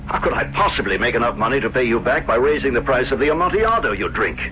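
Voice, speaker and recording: Excitable, indignant debtor, sharp voice. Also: male voice, mid-range voice, fast talker, dull audio, a little hiss or hum. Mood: indignant, exasperated. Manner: indignant, emphatic. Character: proud, hard-pressed, excitable male wine grower in debt. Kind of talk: 1970s radio drama acting.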